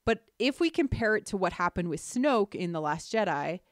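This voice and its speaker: A woman's voice: mid-range voice, big pitch swings, moderate pace, no echo. Earnest woman podcaster, close dry sound. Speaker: podcast host. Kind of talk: analytical podcast discussion.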